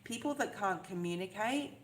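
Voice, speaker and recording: A soft-voiced woman giving a live tarot reading, talking in a chatty, reassuring way and often checking in with "okay?". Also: medium pitch, animated, normal speed. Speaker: tarot reader. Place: outdoors in the rain. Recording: echoey, with loud rain noise. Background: rain noise.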